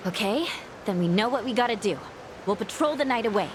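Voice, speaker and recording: A woman's voice: a little high, expressive, fairly quick, background noise, natural voice. A woman voicing a guarded young woman in a video game drama, sardonic and teasing, with a hesitant delivery. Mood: sarcastic, brisk. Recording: music behind the voice.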